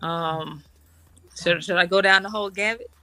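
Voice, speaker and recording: A middle-aged woman from Washington, D.C., an Army officer and guest in a casual interview. She talks in a relaxed, chatty way, laughs often and uses many fillers. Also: on the low side, moderate pace, background noise.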